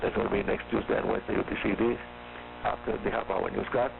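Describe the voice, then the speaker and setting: A man with a Cuban accent hosting a scripted amateur radio program, explaining in a brisk, clear way and spelling things out phonetically. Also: lowish voice, steady tone. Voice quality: gravelly. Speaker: radio show host. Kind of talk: scripted radio talk for hobbyists. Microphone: studio microphone heard over a radio receiver. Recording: tinny shortwave radio reception with mains hum and static. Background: hum and static.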